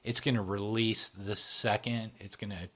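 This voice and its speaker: A middle-aged man explaining psychology in a relaxed interview, often checking in with "right?". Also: fairly low pitch, moderate pace. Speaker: psychology channel founder, explaining. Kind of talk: explanatory conversation.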